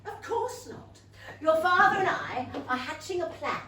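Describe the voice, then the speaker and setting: High, swooping, scheming matchmaker mother. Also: big pitch swings, measured pace, sharp tone, slight background noise, full character voice. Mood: fussy, insistent. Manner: theatrical, gushing, fussy. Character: excitable, scheming, middle-aged matchmaking mother. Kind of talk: comic period stage reading. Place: small London theatre. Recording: echoey theatre, distant mic.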